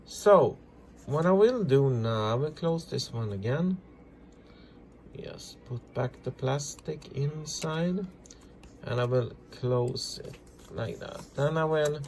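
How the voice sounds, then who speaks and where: A man presenting a casual hands-on repair tutorial, talking through each step in halting, simple non-native English. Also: medium pitch, a little hiss or hum. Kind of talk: casual hands-on demonstration, narrating as they work.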